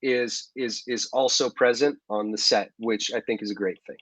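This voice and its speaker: A man's voice: medium pitch, fairly even intonation, normal speed, fairly bright tone, dry recording. A man in his thirties, an actor being interviewed, talking at length in a chatty, rambling way with many ums and restarts, over a video call from his living room. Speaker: actor guest. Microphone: video call microphone. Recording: dull, dry home video call.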